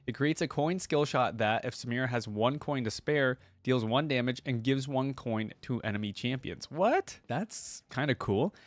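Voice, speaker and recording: A man's voice: medium pitch, dry recording. A man hosting a reaction video, talking casually and giving opinions between bits of text read aloud. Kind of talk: casual reaction commentary mixed with reading wiki text aloud.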